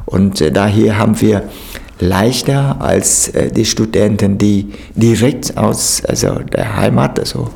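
A man's voice: on the low side, unhurried, creaky, a little mellow. A man from Vietnam, speaking with a Vietnamese accent and frequent hesitations as he recounts his experience. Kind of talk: spontaneous interview account.

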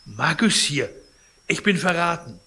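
A man voicing a sick old collector who shouts in fear and bitter anger. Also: moderate pace. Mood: panicked. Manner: shrill, agitated shouting. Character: sick old male collector, fearful and furious.

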